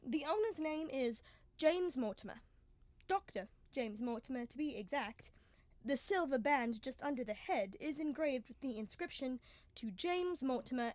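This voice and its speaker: High-voiced genial Doctor Watson, played by a woman. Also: darkish tone, no echo. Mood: curious, eager. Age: young adult. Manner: chatty, polite, storytelling. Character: Doctor Watson, genial male storyteller and companion. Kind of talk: 1930s-style radio drama acting.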